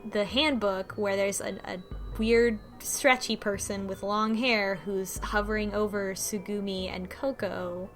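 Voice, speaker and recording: A woman joins a casual group chat, musing aloud and piling up questions. Her voice sits at a middling pitch, and faint music plays underneath. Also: fairly even intonation, a little slow, few pauses. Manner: speculative, musing with frequent questions. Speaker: discussion panelist.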